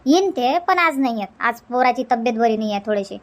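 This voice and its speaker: Woman doing a high voiced cartoon village auntie, chatty n pushy, varhadi marathi accent, teasing everyone. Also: expressive, fairly quick, close to an everyday voice. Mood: explaining. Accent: Varhadi Marathi from Vidarbha. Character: chatty middle-aged village housewife in a comedy cartoon. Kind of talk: cartoon comedy dialogue.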